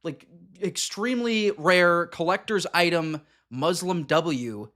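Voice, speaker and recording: A man with a notably high voice hosts a live reaction stream. He talks bluntly and emphatically, breaking off for quick asides to his viewers. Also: pushed and bright. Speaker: streamer commenting on a clip.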